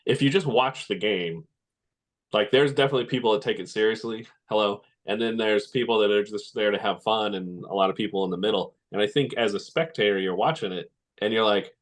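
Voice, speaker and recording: A man's voice: lowish voice, fairly quick. A man co-hosting a podcast, chatting casually in a laid-back, loosely phrased way. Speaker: podcast co-host. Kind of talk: casual conversational commentary.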